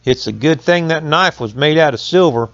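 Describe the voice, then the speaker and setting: A man's voice: fairly high pitch, normal speed, dry recording, highs cut off, close to an everyday voice. A man voicing an old country mill owner in a folk tale, plain-spoken and folksy, with rural turns of phrase. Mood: earnest.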